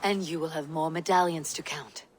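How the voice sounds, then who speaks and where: Steady, wry Viking warrior woman, played by an actress. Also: low voice, moderate pace, slight background noise, lightly acted. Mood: wry, calm. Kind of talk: dramatic video game cutscene acting.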